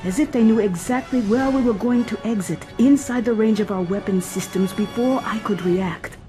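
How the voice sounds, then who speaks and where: A woman's voice: medium pitch, normal speed, music in the background, lightly acted. A woman playing a composed female shipboard artificial intelligence in a sci-fi drama, dry, precise and clinical. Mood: urgent. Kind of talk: science fiction audio drama acting.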